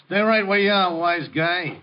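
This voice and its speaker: A man's voice: high voice, measured pace. An actor playing a cocky, menacing gunman in a 1950s radio crime drama, speaking in sneering tough-guy slang. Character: menacing, cocky male gangster holding a gun. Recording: dull, band-limited 1950s radio recording.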